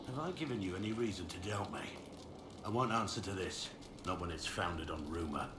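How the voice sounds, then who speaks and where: Man playing a blunt, low-voiced proud warrior. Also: a little fast, natural voice. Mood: defensive. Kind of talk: video game dialogue acting. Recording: roomy echo, clear background noise.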